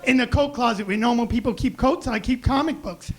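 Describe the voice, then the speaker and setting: A young man with a high, raspy voice doing a self-deprecating deadpan stand-up set on a club stage mic. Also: fairly quick, some chatter behind. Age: young adult. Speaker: stand-up comedian. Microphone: handheld stage mic.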